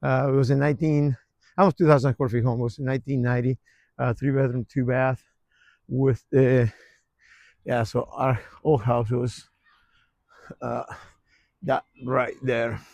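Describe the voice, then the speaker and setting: A man, giving a relaxed, rambling walking tour of his old neighborhood outdoors, with lots of fillers and restarts. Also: mid-range voice, a little slow, gravelly, pauses often, no echo. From Argentina. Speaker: real estate vlogger.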